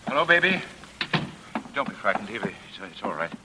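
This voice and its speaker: An actor playing a weary, protective husband under suspicion in a 1940s radio drama, subdued and halting. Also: male voice, lowish voice, big pitch swings, bright, forceful tone, full character voice, rough recording. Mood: weary, reassuring. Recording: old radio recording with faint noise.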